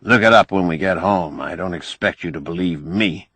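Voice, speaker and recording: An audiobook figure of a learned, crusty older man, acted by a male reader. The voice is low and gravelly with a dry, close sound. He argues like a sardonic lecturer, pedantic and wry. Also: normal speed, a bit bright, dry recording, heavily acted. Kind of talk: audiobook character dialogue.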